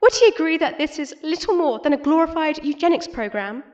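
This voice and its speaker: Opposition politician, very high voice, formal pointed rhetoric, principled and cutting, radio drama, slightly lo-fi. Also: female voice, lively intonation, a little fast, darkish tone. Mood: cutting, earnest. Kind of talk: audio drama parliamentary debate.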